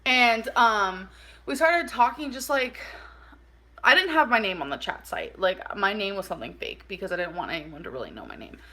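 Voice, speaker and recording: A woman chatting casually through a personal story for a vlog, animated and informal, saying "like" a lot and acting out bits of dialogue. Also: medium pitch, normal speed. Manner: animated, informal, acts out dialogue, heavy use of "like". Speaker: vlogger telling a personal story.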